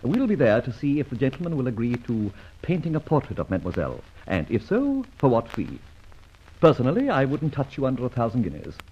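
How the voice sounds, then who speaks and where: An actor playing a suave, secretive gentleman adventurer in an old radio drama, with polished, formal, wryly teasing speech. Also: medium pitch, huge pitch swings, fairly quick, breathy edge. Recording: muffled old radio recording, background hiss.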